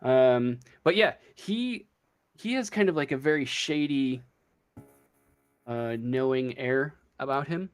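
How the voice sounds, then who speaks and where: A man narrating a tabletop game as game master, halting and searching for words as he improvises. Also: mid-range voice, clean tone.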